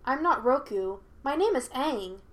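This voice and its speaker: Woman voicing an earnest, higher-pitched young hero. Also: expressive, a little slow. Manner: earnest, placating. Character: earnest, conciliatory young male Avatar.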